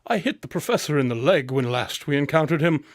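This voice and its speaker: A man's voice: fairly high pitch, expressive, fast, dry recording, studio quality, natural voice. A man playing a self-assured, boastful detective in a radio drama, with a formal, dryly proper manner.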